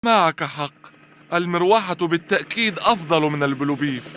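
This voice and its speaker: A man plays an excitable cartoon sidekick, exasperated and easily panicked. The voice is high and bright, and the words race out as he complains. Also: very fast, a little hiss or hum, lightly acted. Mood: agreeing. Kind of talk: animated cartoon voice acting.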